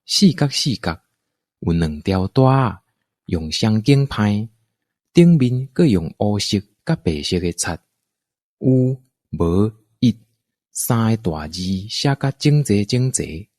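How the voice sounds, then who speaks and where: A man narrates a scripted adventure tale with a Taiwanese accent. His voice is fairly low and husky, recorded dry and close. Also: sing-song, slow pace, darkish tone, no echo.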